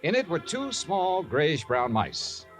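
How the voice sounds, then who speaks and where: An actor playing a skeptical American insurance investigator in a 1950s radio drama, with dry, wry delivery. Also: male voice, on the high side, sing-song, takes it slowly, a bit bright, heavily acted. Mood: wry narration. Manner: dry, wry, hesitant when doubtful. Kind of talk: 1950s radio drama, hard-boiled narration. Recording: old radio broadcast, faint music.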